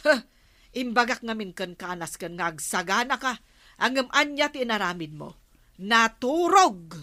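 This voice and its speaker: A woman's voice: a little high, animated, a little slow, bright, forceful tone. A woman with an Ilocano accent playing the exasperated, nagging wife of a lazy village captain in a radio comedy drama. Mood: scolding. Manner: scolding, exasperated.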